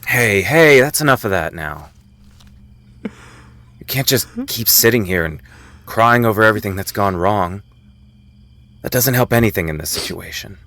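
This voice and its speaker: An actor playing a dead young friend who appears as a hallucination in an audio drama, calm, frank and reassuring. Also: male voice, lowish voice, measured pace, long pauses, heavily acted. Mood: firm. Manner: steady, familiar, gently firm.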